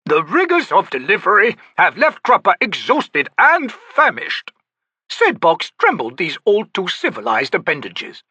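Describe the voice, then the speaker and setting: Picture a grand, self-delighted trickster talking about himself in the third person, voiced by a man high and theatrical, his pitch soaring and dipping wildly. The tone is bright and a bit husky, recorded dry and close for a storybook reading. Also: wildly swooping pitch, a little slow, no echo, heavily acted. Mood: genial. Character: pompous flowery male trickster scholar. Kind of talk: audiobook character voice.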